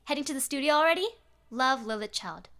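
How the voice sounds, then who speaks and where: Woman putting on a smug, high, teasing stalker. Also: animated, brisk, bright, forceful tone, exaggerated voice. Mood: teasing, pushy. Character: taunting obsessive stalker fan writing emails.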